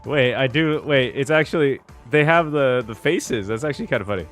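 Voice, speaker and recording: A man's voice: mid-range voice, fairly quick, a bit bright. A man giving casual reaction commentary while playing a video game. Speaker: reaction streamer. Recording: music behind the voice. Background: game music.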